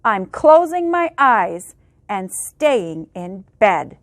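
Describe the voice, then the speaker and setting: A woman's voice: mid-range voice, big pitch swings, very slow, no echo, clean studio sound, exaggerated voice. A woman voicing a grumpy, sleepy groundhog who refuses to leave his bed in a storybook reading. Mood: stubborn.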